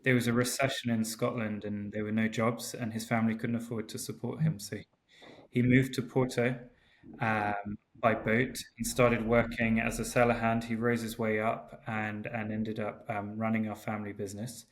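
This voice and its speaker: A man's voice: on the low side, moderate pace. Guy from portugal on a call, laid back, lots of ums, bit of hiss. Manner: relaxed, hesitant, many ums and restarts. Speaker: guest winemaker. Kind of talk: casual interview chat. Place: home in Porto, remote call.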